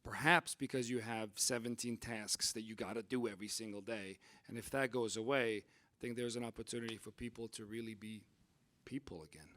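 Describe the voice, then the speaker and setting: A man's voice: on the low side, brisk, sharp tone. A man moderating a panel discussion who reflects earnestly and invites questions.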